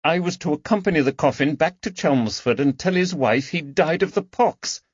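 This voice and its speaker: A man's voice: fairly high pitch, expressive, normal speed, husky, dry recording, dull audio, pitched above the performer's own voice. Man playing a cornered, pleading young swindler. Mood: defensive, scared. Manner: pleading, defensive. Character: frightened, resentful young male swindler under arrest. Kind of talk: audiobook character dialogue.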